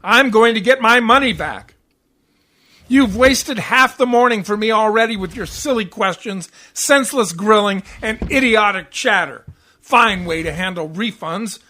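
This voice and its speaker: A man's voice: high voice, unhurried, sharp tone. A man playing a grumpy, sarcastic, impatient husband in a 1940s-style radio comedy. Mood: indignant.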